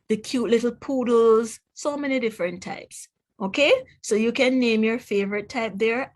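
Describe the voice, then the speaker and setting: A woman with a Jamaican accent reads aloud to children as a teacher, enunciating words in an instructive way and putting questions to the listener. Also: mid-range voice. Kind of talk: children's read-aloud phonics lesson.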